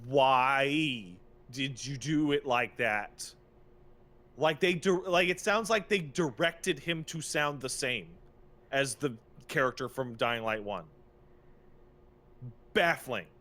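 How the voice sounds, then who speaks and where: A man's voice: mid-range voice, expressive, slow, pauses often, a bit bright. Man chatting emphatically on a gaming podcast. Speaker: podcast co-host. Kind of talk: casual opinionated gaming podcast chat.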